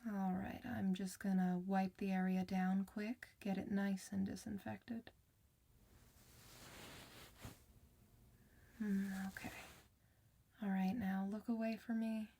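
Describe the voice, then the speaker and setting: Woman playing a gentle, creaky-voiced ASMR clinician. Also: mid-range voice. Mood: soothing, encouraging. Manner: soft-spoken, soothing, encouraging, partly whispered. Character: gentle, reassuring female clinician for werewolves. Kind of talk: ASMR medical roleplay.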